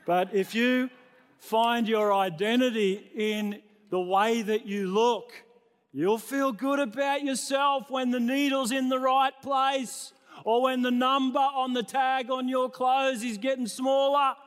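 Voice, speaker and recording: A man's voice: very high voice, fairly even intonation, slow, smooth. An Australian man, a middle-aged pastor preaching in a conversational, self-deprecating manner, building points through repeated phrases. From New South Wales, Australia. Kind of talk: sermon to a congregation. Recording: long reverberant hall sound.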